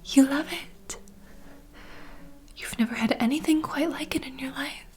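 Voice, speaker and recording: A woman playing a self-assured, doting vampire bartender in a whispered, intimate and unhurried ASMR roleplay. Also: high voice, darkish tone, a little hiss or hum. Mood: knowing, doting.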